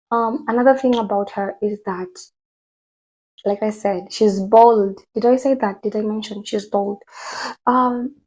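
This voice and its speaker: A woman talking casually to her viewers, chatty and rambling with many fillers, her voice hoarse from a cold. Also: on the high side, warm tone, rough recording. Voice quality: husky, gravelly. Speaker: vlogger. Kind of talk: casual commentary to camera.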